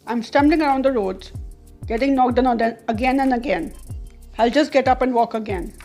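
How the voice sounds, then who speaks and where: A woman's voice: on the high side, moderate pace, darkish tone, soft background music. A woman reciting a poem of their own. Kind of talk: poetry recitation.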